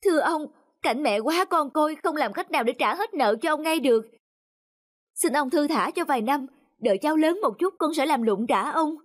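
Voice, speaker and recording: Poor village widow, very high voice, meek, pleading, cartoon. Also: female voice, animated, moderate pace. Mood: desperate pleading. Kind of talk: cartoon folk tale acting.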